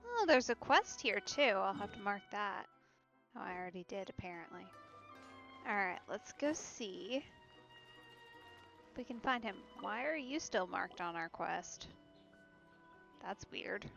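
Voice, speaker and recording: A woman's voice: mid-range voice, expressive, measured pace, vocal fry, long pauses, a bit bright. A woman streamer giving casual let's play commentary with wry, conversational asides, on a slightly dull mic. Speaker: game streamer. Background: game music.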